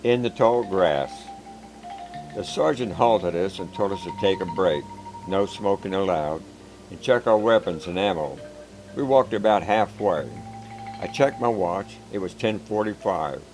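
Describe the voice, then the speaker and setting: A man reading a first-person war story in a calm, measured, plain-spoken way. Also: low-pitched, low-quality recording. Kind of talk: scripted first-person narration. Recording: background music.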